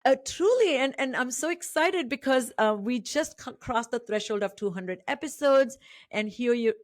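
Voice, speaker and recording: A middle-aged woman from India with an Indian English accent, a native Marathi speaker, hosting an interview podcast in a measured way with many fillers and restarts. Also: on the high side, animated, dry recording, studio quality. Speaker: podcast host.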